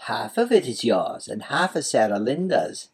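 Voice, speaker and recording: A man's voice: mid-range voice, sing-song, normal speed, slightly rough. A man voicing a whimsical, proud, absent-minded little old magical helper in a storybook, with a quirky, emphatic way of talking.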